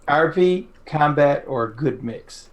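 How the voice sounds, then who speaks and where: A man's voice: a little high, slow pace. A man co-hosting a casual interview, with a playfully theatrical way of putting questions. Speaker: interviewer, podcast co-host.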